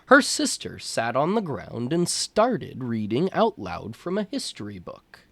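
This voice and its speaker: A man reading a storybook aloud as a teacher for young children, in deliberate phrases. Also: mid-range voice, huge pitch swings, creaky, dry recording.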